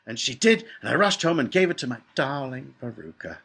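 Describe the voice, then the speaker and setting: A man performs a wealthy, doting factory-owning dad from a storybook. He brags emphatically and folksily, in a bright, forceful voice that swings widely in pitch and has a husky edge. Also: very animated, fairly quick, heavily acted. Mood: exasperated, then relieved. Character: boastful, doting rich father, a peanut factory owner. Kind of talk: animated storybook character voice.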